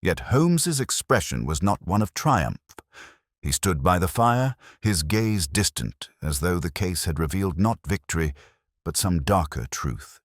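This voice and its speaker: An extremely deep male voice narrates a dramatic story in a formal, measured way. The sound is a little husky and recorded dry and close, with no room around it. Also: sing-song, dry recording. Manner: measured, formal, literary delivery. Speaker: audiobook narrator.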